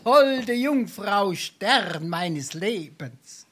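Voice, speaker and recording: A boozy, roguish scrounger in a dialect stage farce, with a thick Darmstadt Hessian accent. He lectures and wheedles in florid, pompous declamation, like a shabby gentleman holding court. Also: high-pitched, animated, extremely slow, slight background noise, full character voice. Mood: mock romantic. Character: roguish, boozy, grandiloquent male scrounger coaching his friend. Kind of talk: theatrical dialect farce acting.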